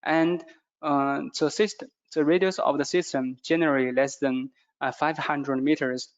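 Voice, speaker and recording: A man with a non-native English accent gives a product training presentation, speaking haltingly and repeating phrases as he goes. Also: fairly high pitch, steady tone. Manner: halting, repetitive phrasing with stumbles. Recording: dull, muffled lo-fi audio.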